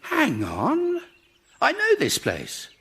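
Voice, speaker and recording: A pompous, easily outraged character in a radio comedy. His voice crackles with fry and swings widely in pitch. He speaks emphatically and with exasperation. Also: wildly swooping pitch, slow pace, a bit bright, full character voice. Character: indignant, self-righteous dead man, pompous and easily outraged. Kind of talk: radio sitcom acting.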